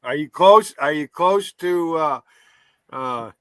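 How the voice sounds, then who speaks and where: A man's voice: on the high side, lively intonation, slow, fairly bright tone, clean tone, no echo. A man in his fifties with a northern New York accent, hosting a livestream chat in a blunt, rambling way and swearing freely. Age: 50s. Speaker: livestream host. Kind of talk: casual chat.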